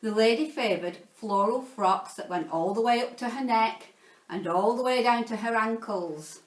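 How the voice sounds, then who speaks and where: A woman reading a children's story aloud in an expressive, playful, theatrical way, like a teacher narrating to pupils. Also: a little high, moderate pace. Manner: theatrical, playful, dramatizing sound words. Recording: roomy echo, distant from the mic.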